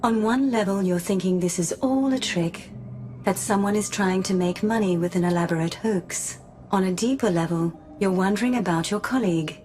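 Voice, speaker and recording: An actress playing a calm, polite mind-reading female robot in a radio drama, speaking in a measured, formal, precise way. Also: mid-range voice, slight background noise, close to an everyday voice. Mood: analytical. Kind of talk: scripted radio drama acting. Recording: music playing underneath.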